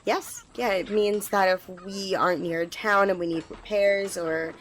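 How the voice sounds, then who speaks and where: A woman's voice: medium pitch, steady tone, a little slow, slight background noise, lightly acted, rough recording. A woman playing an eager, apologetic young squire in an ASMR fantasy roleplay, rambling and stammering as she speaks to the listener. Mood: chatty, eager. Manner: rambling, hesitant, stammering, giggly. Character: eager, flustered young female magic-wielding squire, apologetic.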